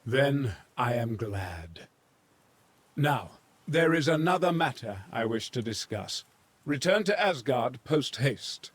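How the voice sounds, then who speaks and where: A man voicing a grave, commanding father god in a video game, measured and authoritative, with a husky voice. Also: medium pitch, very animated, heavily acted. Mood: measured concern. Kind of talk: dramatic video game acting.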